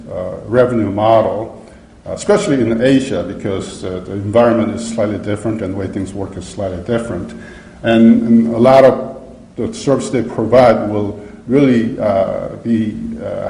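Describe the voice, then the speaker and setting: A man gives a seminar presentation in a mellow, relaxed voice that carries through a roomy hall. He speaks in a halting way, with frequent fillers and restarts as he works through his points. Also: on the low side. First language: English. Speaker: academic conference speaker. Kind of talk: conference presentation. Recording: echoey lecture hall.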